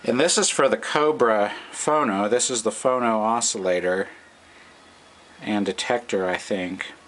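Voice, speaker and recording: A man's voice: fairly low pitch, measured pace, fairly bright tone. A middle-aged man giving a casual, off-the-cuff hobbyist walkthrough, hesitant and thinking aloud, recorded on a camera's own microphone. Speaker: hobbyist vintage radio restorer. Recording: noisy amateur camcorder recording.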